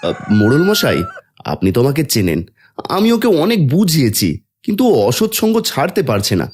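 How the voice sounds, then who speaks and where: A man's voice: fairly high pitch, wildly swooping pitch, brisk, a little hiss or hum. A man playing an earnest, stern elder brother in a village fairy-tale cartoon. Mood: apologetic. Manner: earnest, admonishing.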